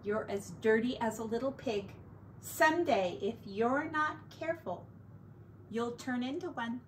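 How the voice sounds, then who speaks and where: A woman's voice: fairly high pitch, expressive, measured pace, distant mic, a little hiss or hum. A woman voicing a fussy, tidy farm mother who chides and fusses over cleanliness. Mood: scolding. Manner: prim, houseproud, chiding.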